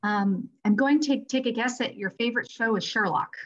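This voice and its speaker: A woman's voice: on the high side, normal speed. A woman hosting a webinar and moderating questions over a video call. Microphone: video call microphone. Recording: dull lo-fi video call audio.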